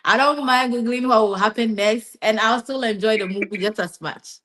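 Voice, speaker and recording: A young adult woman from Ghana, a master's student answering interview questions in a relaxed, rambling way and often tagging on "yeah" and "basically.". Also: on the high side, moderate pace. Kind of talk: casual interview chat.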